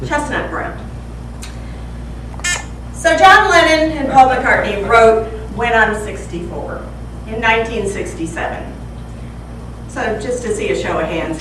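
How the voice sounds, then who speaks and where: A woman in her sixties giving a humorous prepared speech at a speaking club, playfully teasing and quizzing her audience. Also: fairly high pitch. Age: 60s. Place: club meeting room. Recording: echoey room from far off, faint hiss.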